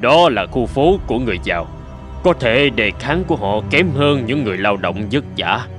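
A man voicing a grave older gentleman physician and mentor in a dubbed cartoon, speaking in a measured, formal, earnest way. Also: mid-range voice, sing-song, faint music behind. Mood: calm, explaining.

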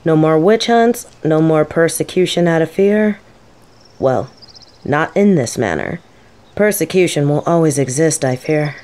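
Woman playing a mellow, low-voiced gentle scholarly professor. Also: expressive, a little slow. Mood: sorrowful, tender. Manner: soft-spoken, thoughtful, formal wording. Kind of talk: audio roleplay monologue.

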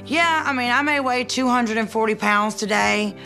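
A woman's voice: a little high, moderate pace, gravelly, sharp tone. A woman, talking casually and bluntly about weight loss in a reality show. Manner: chatty, blunt, filler-heavy. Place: salon and living room interviews. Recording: background music under voice.

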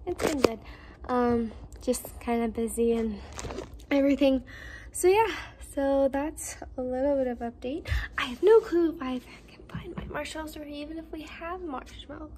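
A young woman vlogging casually from her home kitchen, rambling softly with lots of ums. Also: sits high, normal speed, slight background noise. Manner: rambling, soft and mumbled, with many ums. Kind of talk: casual vlog chat. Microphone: phone held close.

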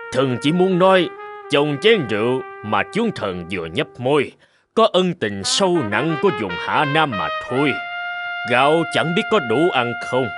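A man voicing an honest, blunt old minister in a cartoon, speaking slowly and gravely, with music behind. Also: mid-range voice. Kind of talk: cartoon voice acting.

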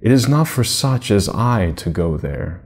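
A man's voice: fairly low pitch, big pitch swings, unhurried, darkish tone. A man voicing a meek, wistful young woman from a storybook fairy tale, plaintively.

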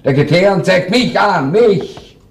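An actor playing an evasive, self-pitying butcher's assistant in a radio crime drama, protesting plaintively in Viennese dialect. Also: male voice, high-pitched, expressive, unhurried, gravelly, exaggerated voice. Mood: aggrieved.

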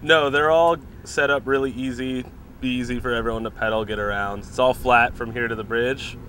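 A young man with a mid-range voice answers interview questions on a host's handheld mic. He explains things plainly and matter-of-factly. Clear street noise surrounds him. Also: medium pitch, steady tone, fairly quick, a bit bright. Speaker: bike rental guest. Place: outdoors at a bike rental lot.

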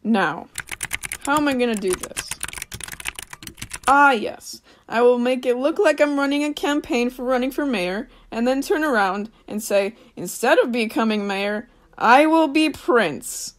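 A woman acting a grieving, volatile young man with big ambitions in an amateur radio play, emotional and halting when upset. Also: a little high, animated. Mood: determined.

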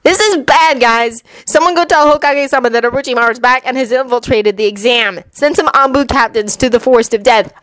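A woman's voice: fairly high pitch, brisk, few pauses, a bit bright, no room sound, pitched above the performer's own voice, lightly acted, rough recording. A woman voicing a brash, exasperated female ninja examiner in a blunt, incredulous manner, in a story reading. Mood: exasperated, determined.

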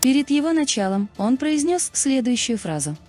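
A woman narrating a scripted video in a measured, explanatory manner. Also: high-pitched, big pitch swings, music in the background.

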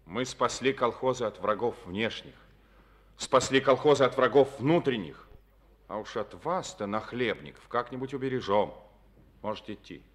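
An actor playing a firm, persuasive middle-aged party secretary in an old Soviet radio drama. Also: male voice, medium pitch, expressive, moderate pace, a bit bright. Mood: stern.

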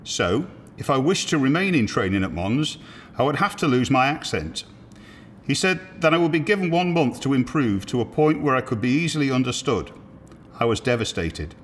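A man's voice: mid-range voice, long reverb tail, slight background noise. Older man steadily reading his memoir. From Liverpool, England. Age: 80s. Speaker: army veteran. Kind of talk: reading a personal memoir aloud. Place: indoor museum room with military equipment.

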